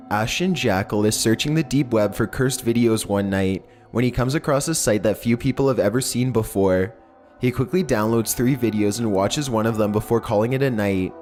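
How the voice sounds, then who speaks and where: A man reading scripted creepy stories in a slow, measured, ominous way. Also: medium pitch, darkish tone. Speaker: narrator.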